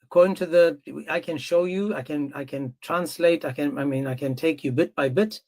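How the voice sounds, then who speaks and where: A man hosting a live-stream debate, insistent and quick to interrupt. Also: on the high side, darkish tone. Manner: insistent, interrupting, repeats himself. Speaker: stream host.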